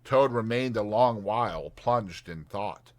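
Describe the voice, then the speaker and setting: A man's voice: fairly low pitch, takes it slowly. A man narrating a classic storybook as a dramatized audiobook.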